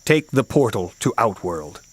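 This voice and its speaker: An actor voicing a wise, stern male god who mentors warriors in a video game, speaking in grave, measured commands. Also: fairly low pitch, sing-song. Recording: game audio with loud music and noise.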